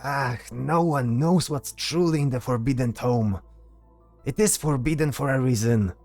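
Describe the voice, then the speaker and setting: A man's voice: medium pitch, measured pace, a little mellow, soft background music, close to an everyday voice. A man voicing a stern, reproachful temple brother in a fantasy audio drama. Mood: grave.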